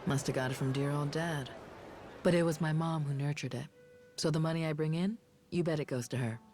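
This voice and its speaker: A woman's voice: deep voice, a little fast, a little mellow, faint music behind, close to an everyday voice. An actress voicing a tough, self-assured young woman bodyguard in a video game cutscene, casual and slangy.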